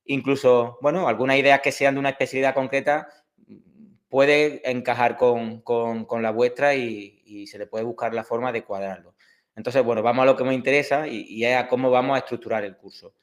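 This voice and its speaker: A man's voice: mid-range voice, steady tone, fairly quick. Andalusian guy in his 30s teaching a prep class, lots of ehs and restarts. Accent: Andalusian Spanish. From Córdoba, Spain. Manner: hesitant, many fillers and restarts. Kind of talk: online course introduction.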